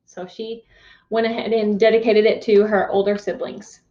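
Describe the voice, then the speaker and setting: A woman teacher reading a picture book aloud to young children, expressive and instructive, pausing to ask questions. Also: mid-range voice. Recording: dull, muffled lo-fi sound.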